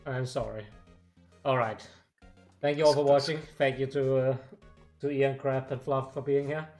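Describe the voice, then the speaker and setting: Male streamer host, mid pitch, easygoing, rambling delivery, faint music underneath. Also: moderate pace. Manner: relaxed, rambling with fillers. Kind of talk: casual stream chat with friends.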